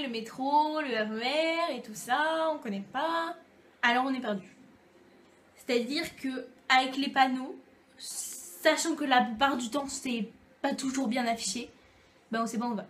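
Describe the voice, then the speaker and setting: A woman's voice: high-pitched, fairly bright tone. A young French woman talking to camera in a chatty, playful vlog, acting out little dialogues as she goes. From France. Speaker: YouTube vlogger. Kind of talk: casual comic monologue to camera.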